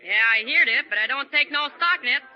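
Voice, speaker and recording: A high, eager little boy. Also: fast talker. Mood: curious. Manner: excited, slangy kid talk. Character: eager wide-eyed small boy on a wharf. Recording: muffled 1930s radio broadcast.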